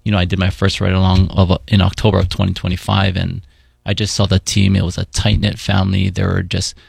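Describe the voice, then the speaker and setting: A man in his forties from Hawaii, a paramedic supervisor talking as a guest in a radio studio, relaxed and thoughtful with many fillers. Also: low voice, fairly quick, gravelly, breathy edge, no echo. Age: 40s. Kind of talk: casual interview in studio.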